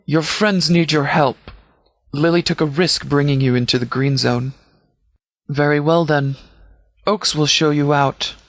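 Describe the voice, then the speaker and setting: A man playing a stern, composed sheriff in a video game, speaking in a measured, formal, authoritative way. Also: a little high, steady tone, no room sound. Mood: formal, firm. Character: stern, composed male sheriff leading a post-apocalyptic militia. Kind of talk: scripted video game dialogue.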